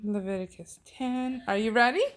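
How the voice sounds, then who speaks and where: A woman with a fairly high voice chats casually in her living room. She talks in short, homely questions and remarks, as in everyday family conversation. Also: normal speed.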